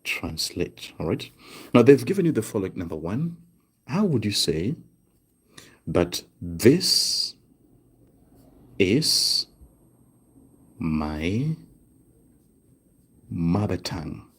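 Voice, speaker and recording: A man teaching German online, slowly repeating each phrase word by word and checking in with "right?". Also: sits low, big pitch swings, fairly bright tone, slightly rough. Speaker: German tutor. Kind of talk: online language lesson, writing on cards. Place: desk indoors.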